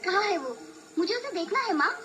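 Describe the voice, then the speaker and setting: A man voicing an eager, excitable, hungry young demon daughter in an animated storybook. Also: very high voice, fairly quick, background noise, pitched above the performer's own voice. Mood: delighted, eager. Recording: loud music behind the voice.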